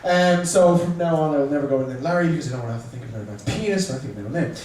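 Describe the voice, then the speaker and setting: A man's voice: a little high, very fast, darkish tone, a little hiss or hum. A young man with a Dublin accent doing a chatty, self-mocking stand-up set in a small bar, teasing the crowd. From Ireland. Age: young adult. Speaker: stand-up comedian. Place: small bar comedy room. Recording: echoey room, mic far off.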